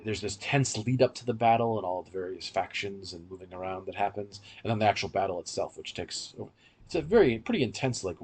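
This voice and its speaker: Low, husky-voiced male podcast host. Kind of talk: casual media review discussion.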